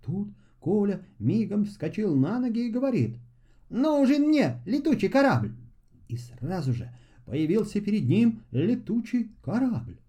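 A male storyteller reads a children's folk tale. His voice is fairly high and mellow, with very lively intonation and an unhurried, expressive delivery. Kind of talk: scripted fairy-tale narration for children.

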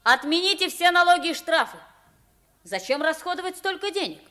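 A frank, inquisitive young boy speaks in a high, swooping voice. He is a naive pauper mistaken for a king, acted in the manner of a 1950s radio play. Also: expressive, a little fast, pushed and bright, full character voice. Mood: brisk, decisive.

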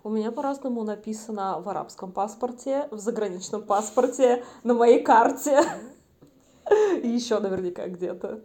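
Mellow-voiced woman podcast host. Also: fairly high pitch, animated, normal speed. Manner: loose, filler-heavy talk. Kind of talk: casual chat.

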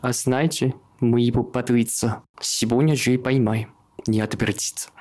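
Man as scheming father, radio play, indignant, commanding, emphatic, middle-pitched. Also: fairly even intonation, normal speed, a little mellow, natural voice. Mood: scheming, eager.

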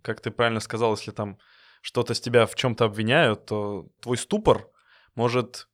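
A man's voice: fairly low pitch, steady tone, normal speed. A man co-hosting a podcast in casual conversation, friendly and chatty, now and then telling jokes. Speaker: podcast co-host.

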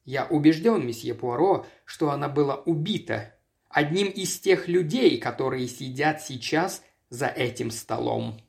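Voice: medium pitch, lively intonation, a little slow, gravelly. Чтец аудиокниги играет ожесточённого вдовца средних лет, который говорит драматично и настойчиво. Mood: agitated conviction. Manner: dramatic, insistent. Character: embittered, obsessive middle-aged widower accusing his guests.

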